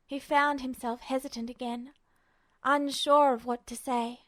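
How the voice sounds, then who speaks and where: A woman reading a story aloud as an amateur fiction narrator, in an expressive scripted style. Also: high-pitched, dry recording.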